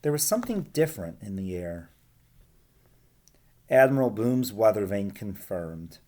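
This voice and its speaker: A man's voice: lowish voice, big pitch swings, measured pace, darkish tone. A man, a schoolteacher, reading a picture book aloud and chatting casually to his students.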